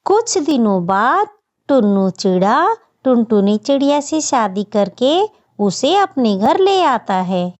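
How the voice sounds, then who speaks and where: A woman's voice: on the high side, very animated, slow pace, a little mellow, dull audio. A woman narrating a scripted children's story as the storyteller.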